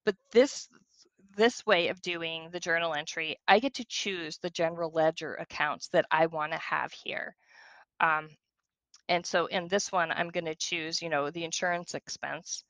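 Bright, slightly creaky woman giving software tutorial. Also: sits low, steady tone, moderate pace, dry recording. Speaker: software demonstrator. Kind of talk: screen-share software tutorial, walking through steps conversationally.

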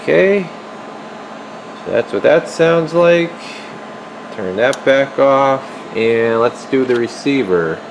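Male repair technician, very slow casual delivery, muttering as he works, handheld camera, noisy workshop. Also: mid-range voice, creaky. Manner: informal, muttering to himself as he works. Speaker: radio repair technician, video host. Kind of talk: casual hands-on workbench demonstration. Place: indoor radio workshop at an electronics bench. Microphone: handheld camera, behind the lens. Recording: noisy room with steady equipment hum.

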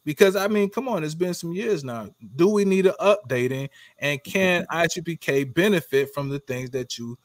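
A man's voice: fairly high pitch, no room sound. A middle-aged man hosting an interview over a video call, loose and casual with many fillers. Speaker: interview host. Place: dim room with dark curtain.

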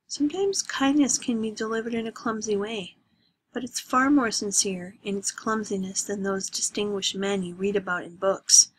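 A woman voicing a gentle, wistful middle-aged mother who speaks softly and tenderly. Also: mid-range voice, steady tone, moderate pace, slight background noise, natural voice, poor audio.

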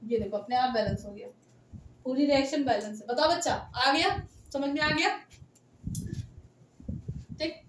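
A high-voiced young woman teaches students through a headset, her voice tiring and slightly hoarse. She keeps asking if they follow along. The room echoes, with steady noise behind her. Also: normal speed, long pauses. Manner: talks straight to students, coaxing and checking in. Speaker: chemistry teacher. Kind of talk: classroom lecture at a whiteboard. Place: small indoor room by a whiteboard.

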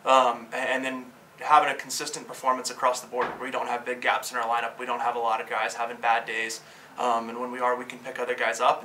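Fast-talking, bright-voiced man coaching. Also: medium pitch. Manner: quick, upbeat, fluent with fillers. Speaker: head coach. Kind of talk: post-game press interview. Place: press backdrop room. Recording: slightly distant, faint room noise.

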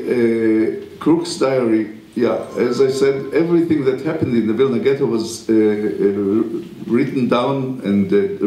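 An older man, a native Hebrew speaker, gives a university lecture at a podium mic in a lecture room, speaking haltingly with many pauses and restarts. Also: medium pitch, dark tone, echoey room, rough recording. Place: wood-panelled lecture room.

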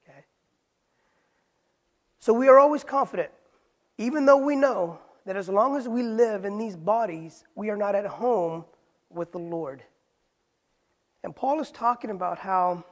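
Earnest high-voiced man preaching. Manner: earnest, pleading, shifts between loud emphasis and soft quoted lines. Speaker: pastor. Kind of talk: sermon. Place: church stage, indoors.

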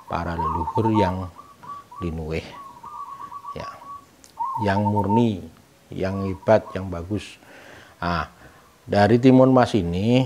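A middle-aged man informally explaining a folk tale's meaning in a didactic way, posing questions and answering them himself. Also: sits low. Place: room with bare walls, indoors, seated. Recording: clear background noise, birds cooing.

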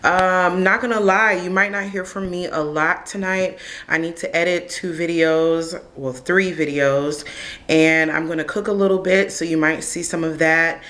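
A woman's voice: lowish voice, steady tone, moderate pace, fairly bright tone. A young woman talks casually to her camera, rambling through stories with lots of "like." She records herself at arm's length, first in a parked car and then at home in her kitchen. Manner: chatty, rambling storytelling with frequent "like". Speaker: vlogger. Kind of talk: casual vlog chat to camera. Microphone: camera held at arm's length.

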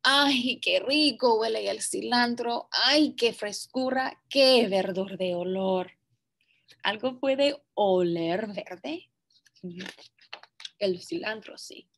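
A young woman reading a picture book aloud to children, expressive and deliberate in her phrasing. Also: a little high, creaky. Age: young adult. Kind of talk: children's story read-aloud with brief asides. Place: small indoor classroom.

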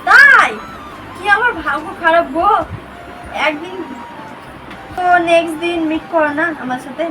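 Very high-pitched coaxing woman, distant mic. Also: expressive, measured pace, a crowd in the background. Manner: coaxing, pleading. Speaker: vlogger making a prank call. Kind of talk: casual flirtatious phone chat. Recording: distant mic with music behind.

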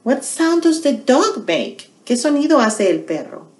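A middle-aged woman hosting a storytime for small children, teaching bilingually and repeating phrases in a deliberate, questioning way. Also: sits high, sing-song, a little mellow, roomy sound. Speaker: children's storytime host at a public library. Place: small quiet indoor room.

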